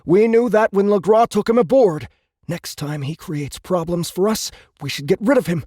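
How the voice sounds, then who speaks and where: A very high-voiced young alien commander, haughty yet insecure. He speaks with indignant, officious insistence in a science fiction audiobook, in a dry, close recording. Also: moderate pace, warm tone, no room sound. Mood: indignant complaint. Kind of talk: audiobook character acting.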